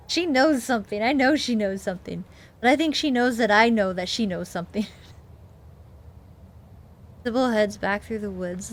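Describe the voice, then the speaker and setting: High-voiced woman, playful game playthrough. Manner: playful, jokey. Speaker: video game streamer. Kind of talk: playthrough commentary and reading aloud.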